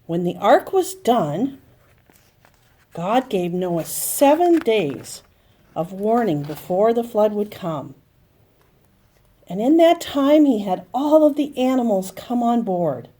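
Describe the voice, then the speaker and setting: A woman telling a Bible story to young children, explaining in simple phrases with dramatic pauses and emphasis. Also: mid-range voice, big pitch swings, mellow.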